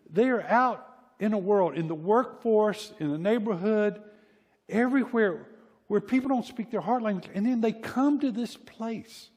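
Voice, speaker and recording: A man's voice: high-pitched, darkish tone. A middle-aged American man with a Southern accent preaches a conversational sermon in a folksy, informal way, repeating phrases for emphasis. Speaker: pastor preaching. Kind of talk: conversational sermon with personal anecdotes. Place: church hall, preaching to a congregation. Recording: reverberant large hall.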